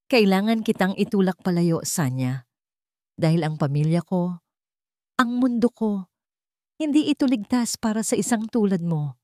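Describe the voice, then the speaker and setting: A woman's voice: medium pitch, expressive, moderate pace, pauses often, mellow, no room sound, studio quality, pitched above the performer's own voice, close to an everyday voice. A woman voicing an anguished, protective young man in a romantic audio drama, solemn and earnest. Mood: pained, urgent. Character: anguished, protective young male CEO confessing forbidden love.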